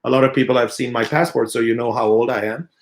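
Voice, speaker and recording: A man in his thirties, a company CEO, giving a halting, earnest unscripted answer full of filler sounds. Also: mid-range voice, fairly even intonation. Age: 30s. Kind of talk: unscripted spoken answer in an AMA.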